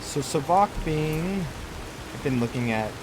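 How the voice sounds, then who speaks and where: A man giving casual let's play commentary as a gaming host, thinking aloud in an unhurried way. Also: fairly high pitch, expressive, background noise. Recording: loud rain and thunder game audio behind. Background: game rain and thunderstorm ambience.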